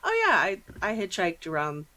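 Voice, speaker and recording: A woman's voice: mid-range voice, wildly swooping pitch, moderate pace, pushed and bright. A middle-aged American woman chatting casually as a podcast host, with slangy asides and acted-out reactions.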